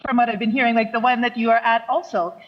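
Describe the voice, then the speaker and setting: A woman's voice: a little high, fairly even intonation, fast. A woman speaking enthusiastically to a conference over a video call. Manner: upbeat, enthusiastic. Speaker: conference co-convener. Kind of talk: remote conference greeting. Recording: lo-fi video call played in a hall.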